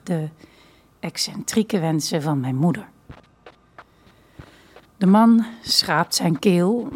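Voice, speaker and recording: A woman's voice: on the low side, slightly rough. A woman reading first-person literary fiction as the narrator of an audio drama.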